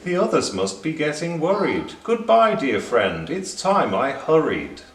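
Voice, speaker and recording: A man's voice: a little high, sing-song, unhurried, voice pushed up. A man voicing an earnest, lonely young male rabbit poet who recites in rhyme for a children's storybook. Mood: fond. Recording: roomy, distant, faint background noise.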